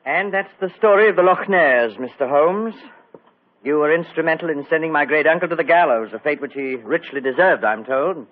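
A man's voice: on the high side, normal speed, close to an everyday voice. An actor playing a troubled, earnest young nobleman in 1940s radio drama. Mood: anxious, earnest. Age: young adult. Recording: tinny old radio broadcast.

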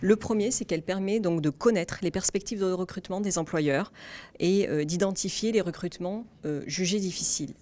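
Woman, 30s, slightly low voice, steady explainer, handheld mic interview in an office. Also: a little fast. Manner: steady, explanatory, few hesitations. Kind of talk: interview for a news report. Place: office, indoors. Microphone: handheld microphone.

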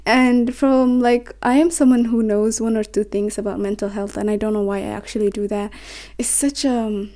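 A woman's voice: mid-range voice, steady tone, normal speed, dark tone. A woman in her twenties whose first language is Somali, talking to the camera in a small bedroom, rambling and stumbling over her words as she reflects aloud. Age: 20s. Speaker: vlogger. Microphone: camera on a desk, facing her.

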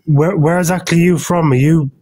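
A man's voice: a little high, fairly even intonation, fairly quick, warm tone. A man on a live-stream debate, chatting casually in a rambling way and restarting his sentences.

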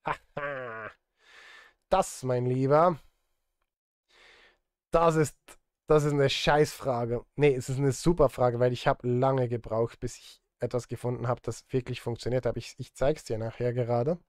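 A man's voice: mid-range voice, steady tone, unhurried, a little mellow, clean tone, no echo, studio quality. A man in his thirties chatting casually on a live stream while he works, rambling and muttering to himself in a self-deprecating way. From Switzerland. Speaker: live streamer and film composer. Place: indoor desk at a streaming setup.

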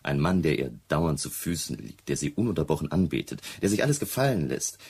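Very deep, gravelly, touchy gentleman. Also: big pitch swings, fairly quick, exaggerated voice. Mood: disdainful. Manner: emphatic, defensive. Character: touchy, indignant gentleman friend of a missing singer. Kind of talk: German radio play acting.

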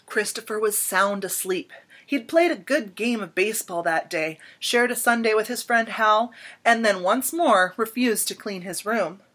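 A woman in her thirties reading a children's picture book aloud in an expressive, dramatic storytelling manner with emphatic pauses. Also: mid-range voice. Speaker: storybook reader. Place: small indoor room at home.

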